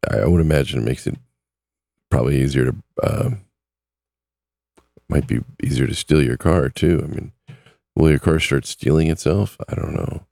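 A man's voice: rumbling low, creaky, a little mellow, no echo. A man who is losing his voice, hosting a casual talk podcast in a chatty way. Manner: chatty, repeats numbers slowly. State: losing his voice, sore throat. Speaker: podcast host.

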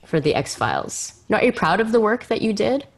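A woman's voice: medium pitch, big pitch swings, brisk. A woman interviewing a guest in a conversational podcast style, effusive, feeling her way through questions with emphatic repeats.

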